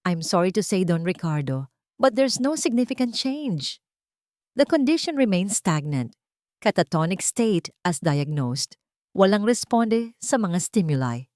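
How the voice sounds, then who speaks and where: A woman's voice: mid-range voice, sing-song, measured pace, a little mellow, no echo, clean studio sound. A woman with a Filipino accent voicing a male family doctor, clinical, humorless and dismissive, in an audio drama. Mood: professional pity.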